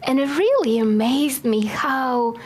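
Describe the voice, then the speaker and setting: A woman's voice: sits high, lively intonation, a little slow. A woman from Bulgaria giving a chatty, self-deprecating talk to a live audience, with many fillers. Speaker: speaker.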